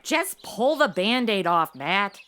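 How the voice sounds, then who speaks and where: Woman playing sarcastic spoiled city woman, animated swoops, bright edge, blunt, complaining, comedy audio drama. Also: medium pitch, slow pace. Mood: flippant. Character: snarky, spoiled modern city woman stuck in Old West.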